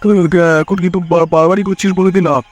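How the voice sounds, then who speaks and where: A man's voice: high-pitched, fairly even intonation, very fast, soft background music, natural voice. A man voicing an honest, indignant village husband and elder brother in a cartoon fairy tale, blunt and exasperated.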